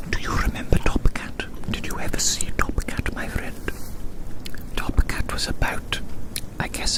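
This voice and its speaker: A person in their thirties whispering gently and unhurriedly in an ASMR style, rambling and repeating phrases over and over. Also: low-quality recording. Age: 30s. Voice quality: soft unvoiced whisper. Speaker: ASMR host. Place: outdoors.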